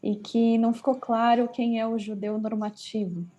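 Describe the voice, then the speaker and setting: A woman's voice: on the high side, flat delivery, moderate pace, warm tone. A woman moderating an online discussion over a video call, with lots of hesitant fillers.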